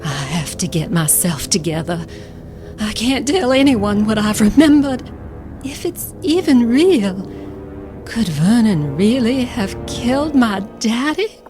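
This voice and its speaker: A woman's voice: on the high side, sing-song, slow. An actress in an audio drama playing a middle-aged wife and mother, weary and guarded, with a wry restraint. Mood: shaken. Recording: music under the dialogue.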